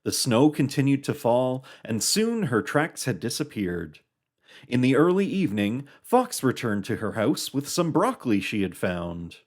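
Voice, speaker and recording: A storyteller narrates a children's puppet show. The reading is scripted and expressive, with a lively, varied melody in the voice. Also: medium pitch, a little slow.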